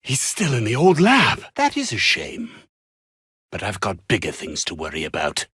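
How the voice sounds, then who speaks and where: An actor playing a haughty, anxious, downtrodden scientist in a video game, husky and speaking in formal, wordy, theatrical phrases. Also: male voice, lowish voice, wildly swooping pitch, moderate pace, bright, forceful tone, dry recording, clean studio sound, exaggerated voice. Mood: bitter, guilty. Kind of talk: video game character dialogue.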